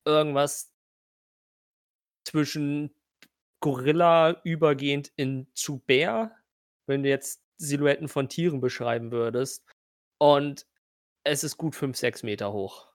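A man runs a tabletop role-playing game as its game master. He builds his descriptions haltingly, addressing the player directly in an informal way. His voice is close and dry, with hardly any room sound. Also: fairly high pitch, no echo.

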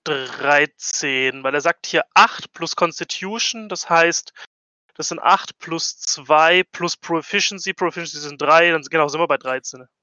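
Bright, clear, higher-voiced man at a game table. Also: measured pace, dry recording, lo-fi sound. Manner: playful, drops English phrases into speech. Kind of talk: casual chat during a role-playing game.

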